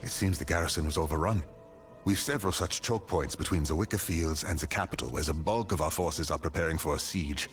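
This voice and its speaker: An actor playing a battle-hardened, dutiful military commander in a video game, speaking in a gravelly, grave, formal and commanding way. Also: male voice, extremely low pitch, fairly quick. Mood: grave, resolute. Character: battle-hardened male army commander, stern, dutiful, urgent.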